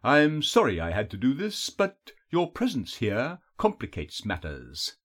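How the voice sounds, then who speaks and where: A man voicing a sly, taunting elf captor in an audiobook, with a honeyed, throaty voice and a mocking, drawn-out purr. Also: mid-range voice, huge pitch swings, fairly bright tone, full character voice. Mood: falsely soothing. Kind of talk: audiobook character voice.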